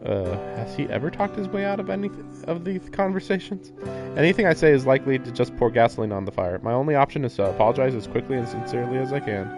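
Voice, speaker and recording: A man's voice: medium pitch, lively intonation, fast, over music. A man reading a visual novel's narration aloud on a stream, breaking into casual commentary.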